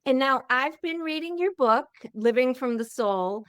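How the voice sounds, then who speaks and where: Loud-voiced woman host, smooth and dry. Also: sits high, expressive, a little slow, no room sound. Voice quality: loud voice that carries. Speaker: podcast host. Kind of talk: casual interview chat.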